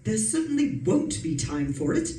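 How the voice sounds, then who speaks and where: A woman's voice: fairly high pitch, very animated, moderate pace, mellow. A woman playing a bossy, scolding middle-aged wife in an old-time radio suspense play. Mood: exasperated. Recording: roomy, distant mic, faint noise.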